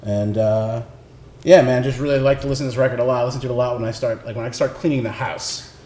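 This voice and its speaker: A man chatting casually and unscripted about his picks, rambling and halting as he finds his words. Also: medium pitch, fairly even intonation, mellow. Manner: halting, rambling, laid-back phrasing. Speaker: recording engineer presenting record picks. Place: indoors in a record shop. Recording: roomy echo, faint background noise.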